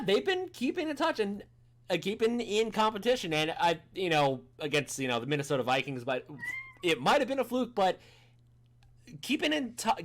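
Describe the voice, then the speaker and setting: A man in his thirties co-hosting a casual sports podcast, restarting sentences and rushing when excited. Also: fairly high pitch. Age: 30s. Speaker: podcast co-host.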